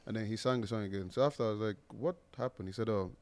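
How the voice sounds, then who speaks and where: A man's voice: fairly low pitch, racing, darkish tone. A man hosting a podcast, asking rambling questions and cracking jokes. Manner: joking, rambling questions with restarts. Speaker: podcast host. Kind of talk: casual interview chat.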